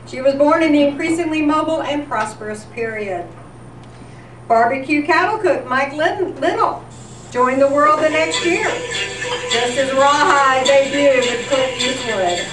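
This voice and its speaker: A high-pitched woman reads a scripted, nostalgic history as an event presenter. She sounds distant from the mic, in a reverberant room. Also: a little slow, fairly bright tone. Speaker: presenter at a volunteer appreciation event. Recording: echoey room, distant mic, background noise.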